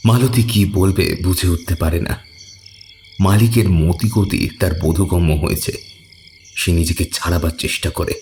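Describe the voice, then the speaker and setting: A man reading scripted horror fiction as a narrator, measured and suspenseful. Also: sits low, steady tone, a little mellow, slight background noise. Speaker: story narrator.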